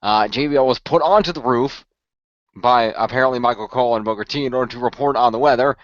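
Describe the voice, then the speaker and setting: A man's voice: medium pitch, fast talker, no echo. A man co-hosting a casual podcast, recapping events in a rambling way with many restarts. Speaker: podcast co-host. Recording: muffled dry lo-fi audio.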